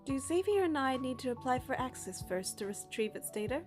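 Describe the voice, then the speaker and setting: Young female playthrough narrator, high register, scripted story reading with faint music behind. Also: big pitch swings, a little fast, a little mellow. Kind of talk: scripted narration and dialogue read aloud.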